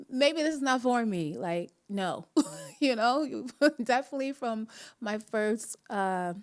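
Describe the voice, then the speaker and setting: A young woman with a Jamaican accent hosting a faith podcast, telling a personal story in a casual, animated way and laughing often. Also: a little high, takes it slowly, long pauses. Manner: laughs often, animated, many restarts and asides. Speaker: podcast host.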